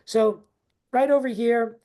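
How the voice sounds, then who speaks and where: A woman's voice: a little high, fairly even intonation, takes it slowly, dark tone. A woman leading a teacher workshop over a video call, chatty and informal, checking in with "okay?" and "right?" as she talks. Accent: not native to Texas, unnamed regional accent. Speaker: teacher trainer. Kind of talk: live online teacher workshop.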